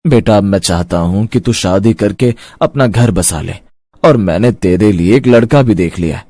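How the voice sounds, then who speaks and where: A man's voice: fairly low pitch, normal speed, dark tone, no echo. A man playing a caring middle-aged father, a humble rickshaw driver in a cartoon story, earnest and reassuring. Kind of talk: Hindi moral cartoon story acting.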